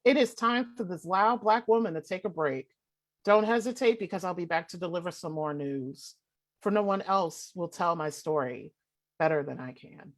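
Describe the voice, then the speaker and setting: A young woman delivering spoken-word poetry in a forceful, rhythmic cadence, her voice sitting slightly low. Each line lands with conviction and measured weight. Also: expressive. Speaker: poet.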